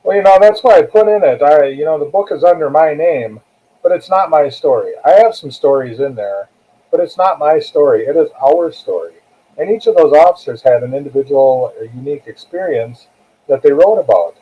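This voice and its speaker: A man's voice: a little high, expressive, a little fast, breathy edge. Phone-like audio, older American man. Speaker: guest author, retired corrections captain. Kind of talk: conversational interview answers. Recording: tinny, phone-like narrow-band call audio.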